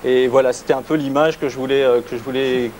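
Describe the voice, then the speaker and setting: A man in his thirties answers questions on a TV studio set, halting and restarting often. A lapel mic catches him with faint noise behind. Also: medium pitch, steady tone, a little mellow. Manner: hesitant, many restarts and fillers. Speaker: guest photographer. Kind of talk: casual TV interview.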